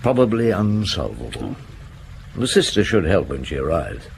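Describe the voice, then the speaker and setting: A seasoned male police chief in a radio play, with a low, creaky voice that moves expressively. He muses without hurry and puts short, wry questions over a faintly noisy background. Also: sing-song, exaggerated voice. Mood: musing, wry. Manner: musing, unhurried, terse questioning. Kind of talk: radio drama acting. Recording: clear background noise.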